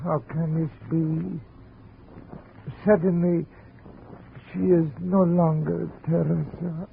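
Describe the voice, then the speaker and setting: An actor playing a wounded, pleading old father in a 1950s radio drama, with a husky, gravelly voice. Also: on the high side, level tone, slow, slight background noise. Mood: anguished, pleading. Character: anguished, wounded old father, a poor painter. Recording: muffled, tinny old radio recording.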